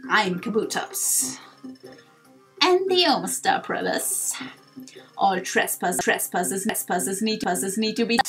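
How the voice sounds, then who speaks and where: A woman's voice: medium pitch, sing-song, a little slow, over music, rough recording. A woman voicing a menacing prehistoric shellfish monster in a video game, taunting and sarcastic.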